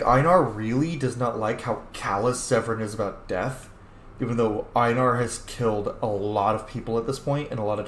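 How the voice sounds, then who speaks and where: Male gaming host, mid-pitched, informal and thoughtful, loose with swearing; roomy recording with slight background noise. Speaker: let's play host. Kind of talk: casual roleplay game commentary.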